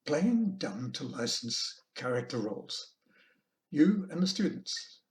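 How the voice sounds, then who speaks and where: A man with an Australian accent presenting an informal online lecture as a language teacher, in a measured, deliberate, halting manner. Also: mid-range voice, very animated. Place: online video call presentation.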